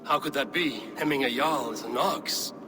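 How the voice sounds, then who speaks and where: An actor playing a calm, earnest Norse clan leader. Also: male voice, mid-range voice, measured pace, lightly acted. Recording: game audio with ambient noise.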